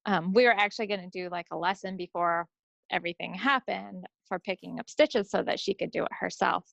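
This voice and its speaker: A woman's voice: on the low side, fairly quick, gravelly, a bit bright, no room sound, muffled recording. A woman co-hosting a craft podcast, chatting casually with easygoing, hesitant phrasing. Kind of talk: casual chat about projects. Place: recorded at own end of a remote video call.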